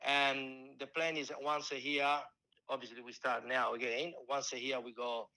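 Man, Italian accent, forties, halting with restarts, bright tone. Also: medium pitch, level tone, low-quality recording. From Italy. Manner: halting, many restarts and fillers. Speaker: football coach and scout. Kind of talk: casual interview answers.

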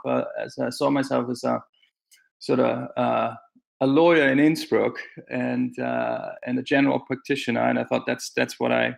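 A man's voice: mid-range voice, vocal fry. A man in his forties from Austria, a guest answering interview questions over a teleconference, hesitant with many fillers and restarts. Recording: teleconference call audio.

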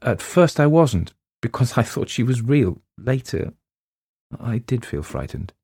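A man voicing a gentle, hesitant young female teacher in a read story. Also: medium pitch, very animated, unhurried, breathy edge, no room sound, clean studio sound, voice pushed up, exaggerated voice. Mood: hushed.

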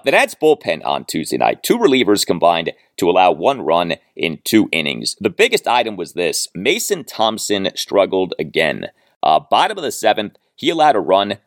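An American man hosting a sports podcast, giving a solo baseball recap with emphatic, deliberate phrasing and dramatic pauses. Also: low-pitched, creaky, no echo, studio quality.

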